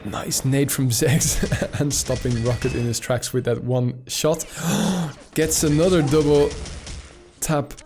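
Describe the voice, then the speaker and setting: Chuckling Dutch-native esports caster. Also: male voice, medium pitch, fairly even intonation, darkish tone. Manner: chatty, laughs often, halting with fillers. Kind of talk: live game commentary.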